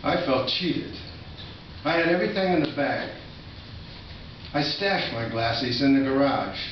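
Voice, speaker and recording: A man's voice: medium pitch, expressive, measured pace, dull audio. An older man from Massachusetts, giving a live poetry reading with informal, reminiscing asides. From Massachusetts, USA. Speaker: poet. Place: indoor college reading venue, recorded from a distance. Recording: distant, echoey room recording with noticeable noise.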